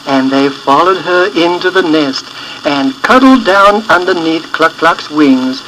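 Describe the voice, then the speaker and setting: A man reading a story for children in an expressive storytelling style, as its author, on an old record. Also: a little high, measured pace, background noise.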